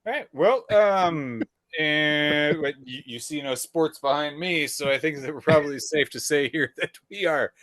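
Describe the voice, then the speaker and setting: A man's voice: fairly high pitch, unhurried, fairly bright tone, no echo. A man co-hosting a casual podcast, blunt and teasing, swearing casually. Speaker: podcast co-host. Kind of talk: casual chat.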